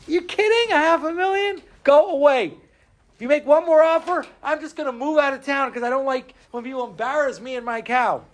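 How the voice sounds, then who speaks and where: A man's voice: very high-pitched, a little fast, pitched above the performer's own voice. A man putting on the voice of an indignant cow owner who shoos buyers away. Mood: scornful.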